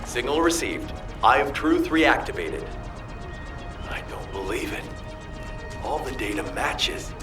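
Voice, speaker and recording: A man playing a serious technician who gives deliberate, clipped reports in a video game drama. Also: medium pitch, animated. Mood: astonished, focused. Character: serious male technician reporting operation data. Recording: echoey, distant, music behind.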